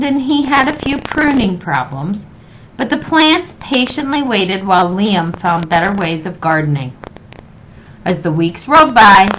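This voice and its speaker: A woman reading a children's picture book aloud in a measured, expressive way. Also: mid-range voice, slight background noise. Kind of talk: read-aloud children's story narration. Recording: dull, lo-fi sound.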